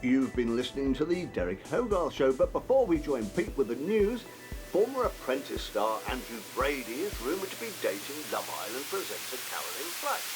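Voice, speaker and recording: A man's voice: medium pitch, very animated, normal speed, over music, lightly acted. A man acting a sceptical, needling radio host in a drama, with a formal, challenging manner. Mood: polite, brisk.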